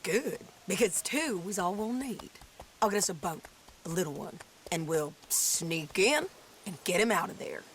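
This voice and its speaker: A woman's voice: on the low side, expressive, moderate pace, slight background noise, lightly acted. An actress playing a tough, determined frontier woman with an American Western accent in a video game, blunt and headstrong. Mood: resolute. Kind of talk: dramatic video game acting.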